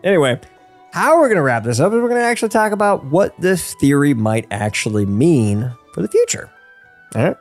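A man hosts a casual podcast with a lively, swooping delivery. He sounds playful and jokey, talking loosely about game lore, with faint music underneath. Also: a little high, a little fast. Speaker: podcast host.

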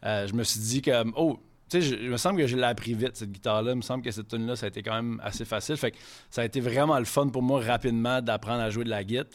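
A man from Quebec City with a Quebec French accent rambles through a casual podcast chat. His voice sits at an ordinary middle pitch. He mixes English into his French and swears often as he talks. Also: quick pace. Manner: rambling franglais with frequent swearing. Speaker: guest chef.

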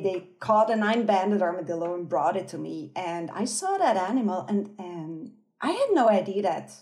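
A woman from Switzerland, a guest on an interview, telling a story in a cheerful, lively way with frequent laughs and fillers. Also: mid-range voice. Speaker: guest researcher.

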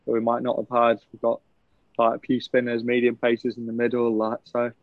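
Relaxed young man, flat delivery. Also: medium pitch, a little fast, no room sound. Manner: relaxed, chatty, laughs often. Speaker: professional cricketer guest. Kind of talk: casual podcast interview. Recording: dull, lo-fi, dry sound.

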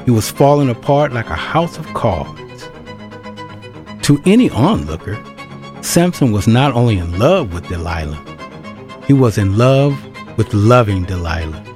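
A man's voice: on the low side, sing-song, measured pace, a little mellow. A man reading scripted narration for an audio drama, with string music behind him.

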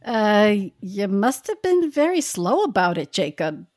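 A woman's voice: medium pitch, sing-song, a little slow, darkish tone, no echo, clean studio sound. A woman voicing a grumpy old miser in a comic reading, mock-dramatic, swearing now and then and stumbling over filler words. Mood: wheedling.

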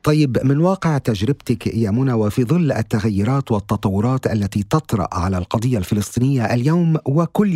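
Warm, husky male interviewer. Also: medium pitch, dry recording. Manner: measured, formal Standard Arabic. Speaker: interview host. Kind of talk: formal interview questions.

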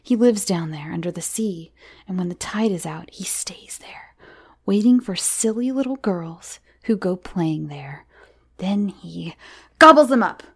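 A woman's voice: medium pitch, animated, moderate pace, darkish tone, close to an everyday voice. A woman voicing a sly, mocking man who teases in a mock-sinister way, in a story reading. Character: teasing, sly man, mocking adult lover of mother.

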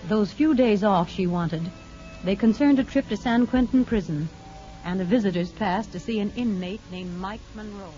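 A woman's voice: mid-range voice, normal speed, warm tone. A woman narrating a 1950s radio drama in a scripted storytelling style. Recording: muffled old radio broadcast, music behind.

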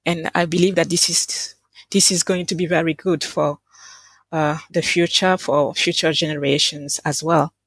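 A French-speaking woman from Senegal and Côte d'Ivoire, an interpreter being interviewed, speaking in a measured, thoughtful way with many hesitant pauses. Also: sits low, steady tone, breathy edge, dry recording. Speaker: translator and interpreter, guest. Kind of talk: interview conversation.

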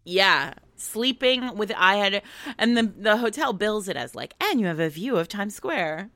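An American woman co-hosting a podcast in casual chat, telling stories in an animated, digressive way. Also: medium pitch, unhurried, no room sound, soft background music. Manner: animated, digressive storytelling with frequent laughter. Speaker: podcast co-host.

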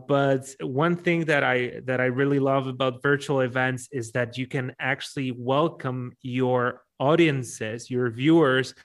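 A man with a Polish accent answering interview questions conversationally, often repeating words as he works out his explanation. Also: medium pitch. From Poland. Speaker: event MC, interview guest.

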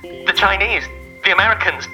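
A man's voice: high voice, expressive, moderate pace, exaggerated voice, poor audio. An actor playing a panicked, hunted man who stammers frantically in a telephone message in an audio drama. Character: panicked hunted man pleading on answering machine. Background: loud music.

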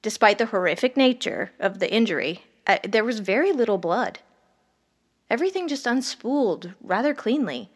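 A woman playing a detached, morbidly curious ER doctor, narrating a horror audio drama in a measured, wry, clinical way. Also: on the high side, animated, husky.

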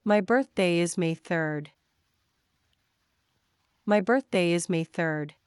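Slow, smooth-voiced woman teacher. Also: lowish voice, a little mellow. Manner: slow, deliberate, each sentence said twice. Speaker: English teacher. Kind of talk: reading example sentences for learners.

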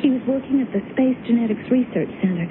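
An actress in a 1970s radio drama playing an earnest, devoted wife who speaks haltingly and anxiously. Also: female voice, fairly high pitch, lightly acted. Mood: distressed, pleading. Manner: halting, stammering, pleading. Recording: noisy, tinny 1970s AM radio broadcast.

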